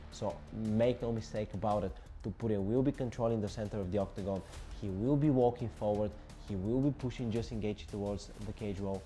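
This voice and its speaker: A man's voice: lowish voice, dark tone. A Bulgarian man in his twenties, a combat sports journalist speaking English as a second language, breaking down a fight with emphatic, repetitive lists of tactics. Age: 20s. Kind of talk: solo fight analysis to camera.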